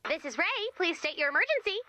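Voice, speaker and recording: High, bright, bossy camp director woman. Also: big pitch swings, fast, no echo, heavily acted. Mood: brisk. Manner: peppy, exasperated, bossy. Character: chirpy, bossy robot woman running a summer camp. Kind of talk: animated video game voice acting.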